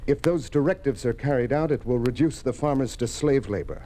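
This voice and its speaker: An actor playing an earnest, defiant young farmers' leader, insistent and persuasive in 1950s radio drama style. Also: male voice, mid-range voice, brisk, dark tone, a little hiss or hum, close to an everyday voice. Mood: firm, challenging.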